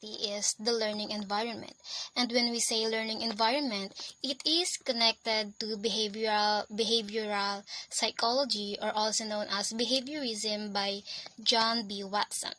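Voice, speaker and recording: A woman's voice: mid-range voice, fairly even intonation, moderate pace, pushed and bright, slight background noise, rough recording. A young woman with a Filipino accent, whose first language is Filipino, presents a lesson on teaching in an earnest way, often restarting and correcting herself mid-sentence. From Philippines.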